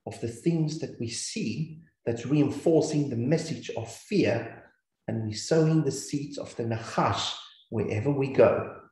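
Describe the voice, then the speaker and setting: A man's voice: medium pitch, mellow. A man with a New Zealand accent teaching scripture in an earnest, repetitive way. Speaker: Bible teacher. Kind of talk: scripture teaching over slides. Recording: very echoey room.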